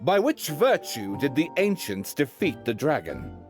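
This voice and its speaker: Theatrical, wildly swooping quizmaster. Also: male voice, medium pitch, very animated, unhurried, music in the background. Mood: grand, solemn. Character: theatrical male quizmaster posing solemn riddles. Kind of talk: video game character acting.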